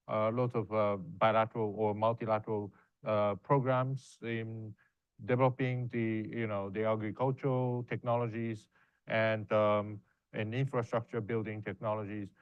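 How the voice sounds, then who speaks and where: A man's voice: on the low side, flat delivery, clean tone, no room sound, lo-fi sound. Hesitant man on a panel. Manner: hesitant, many fillers, halting phrasing. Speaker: panelist, university professor. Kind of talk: answering audience questions on a panel.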